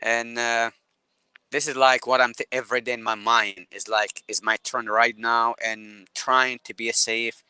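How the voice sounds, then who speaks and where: A man's voice: medium pitch, level tone, bright, forceful tone, clear, resonant tone, dry recording. Earnest Yemeni man on a video call. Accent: Yemeni Arabic. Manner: halting, repeats words, earnest. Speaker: guest photographer. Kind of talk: live call interview.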